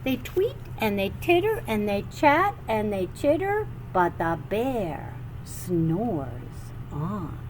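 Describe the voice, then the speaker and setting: A woman reading a children's picture book aloud with animated, sing-song expression and drawn-out phrases. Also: mid-range voice, slight background noise. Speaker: storybook reader.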